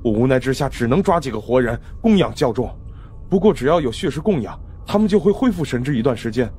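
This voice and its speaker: A man's voice: medium pitch, big pitch swings, fast, dark tone, a little hiss or hum, voice pushed up, lightly acted. A man voicing a defeated young cult leader in an audio story, weary and resigned as he explains himself. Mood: weary confession.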